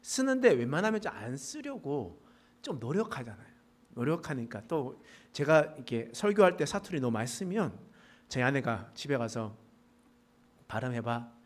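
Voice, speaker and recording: Animated, folksy Korean pastor with a regional lilt. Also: male voice. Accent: Gyeongsang dialect traces. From South Korea, Pohang area. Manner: folksy, joking asides with congregation, animated pitch swings. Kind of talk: sermon. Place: church sanctuary.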